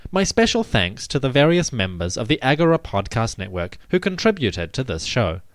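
A man hosting a podcast, reading scripted narration with articulate, deliberate phrasing. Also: mid-range voice, no echo. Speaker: podcast host.

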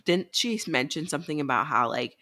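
A woman's voice: sits low, animated, brisk, over a noisy crowd. Woman podcast co-host in casual chat. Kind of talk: casual chat about books.

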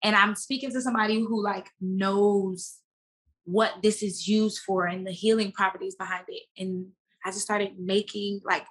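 A woman guest talking casually and informally in an interview, slangy and animated as she tells stories and quotes herself. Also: mid-range voice, moderate pace. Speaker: podcast guest, actress and business owner.

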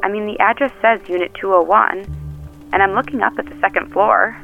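A woman's voice: mid-range voice, steady tone, a little fast, gravelly, faint music behind. Actress as a brash woman on a filtered phone line. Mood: wry. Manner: blunt, teasing, sardonic. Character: brash, wry, tough young farm woman on stakeout. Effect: phone call filter. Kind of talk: scripted audio drama, naturalistic phone dialogue. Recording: band-limited phone line effect.

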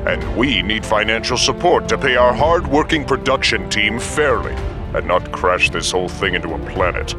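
A man's voice: lowish voice, expressive, moderate pace. A man reading a scripted podcast promo with a dry, wry delivery. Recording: music bed under the voice.

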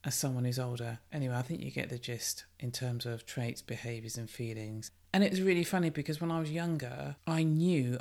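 A middle-aged British woman hosts a solo podcast on her own. Her voice is strong, low-set and commanding, with a noticeable gravelly creak. She talks candidly and with emphasis, addressing the listener directly. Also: darkish tone. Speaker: podcast host. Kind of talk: personal development podcast monologue.

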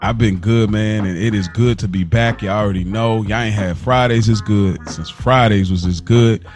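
A young man with a low voice co-hosts a casual podcast. He talks in a loose, rambling way, often restarting his sentences, over faint background music. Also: normal speed, darkish tone. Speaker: podcast co-host.

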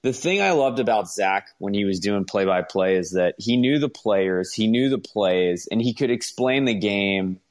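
Male co-host for sports talk, lowish voice, loose and conversational, tends to ramble. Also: lively intonation, moderate pace, few pauses. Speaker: podcast co-host. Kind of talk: casual sports chat.

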